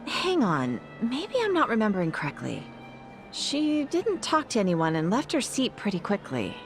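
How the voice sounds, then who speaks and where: An actress playing a helpful, easily starstruck party guest in a video game, chatty and a little hesitant. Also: female voice, medium pitch, huge pitch swings, moderate pace, faint music behind. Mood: helpful, musing.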